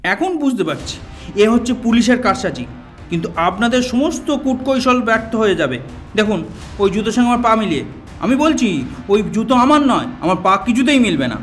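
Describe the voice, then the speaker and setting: A man's voice: sits high, sing-song, a little fast, faint music behind. A man playing an evasive, haughty murder suspect in an audio detective drama, with formal, theatrical and sometimes stammering speech. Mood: indignant, angry. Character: evasive, haughty male murder suspect, indignant when cornered.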